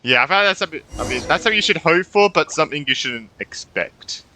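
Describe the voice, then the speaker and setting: A man's voice: on the high side, fast talker, slight background noise, low-quality recording. A man giving casual, reactive live game commentary as a streamer, with some swearing. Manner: animated, profane, reactive. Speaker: gaming streamer.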